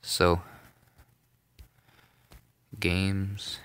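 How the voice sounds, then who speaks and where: A young man narrating a casual phone tutorial, rambling, with many ums and self-corrections, in a soft voice. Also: deep voice, level tone, drawn-out words, clear, resonant tone. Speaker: tutorial maker. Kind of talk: casual screen-recorded tutorial.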